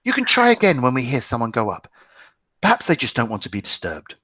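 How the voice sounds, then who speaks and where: A man reading aloud, voicing a gentle, practical mother in his own voice. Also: medium pitch, huge pitch swings, fast talker, no room sound, full character voice. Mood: reassuring. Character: reassuring mother, 1940s Germany.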